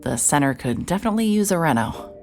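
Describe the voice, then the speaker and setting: A woman playing a hard-hearted, stubborn private investigator in an audio drama, narrating in a wry, terse, deadpan way. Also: sits low, fast talker, slight background noise. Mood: brooding, observant. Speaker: narrator and lead actor.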